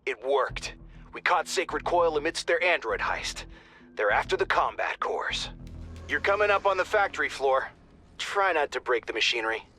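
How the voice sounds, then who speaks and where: An actor playing a joking squad handler on comms in a game, wry and lively in delivery. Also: male voice, a little high, normal speed, faint music behind. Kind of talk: game cutscene voice acting.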